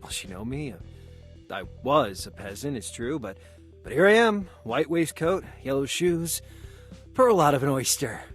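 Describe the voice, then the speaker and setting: An actor playing an eager, awkward self-made merchant of peasant birth in a visual novel, earnest and halting, sometimes stammering. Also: male voice, medium pitch, sing-song, soft background music. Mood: musing. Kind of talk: naturalistic visual novel acting.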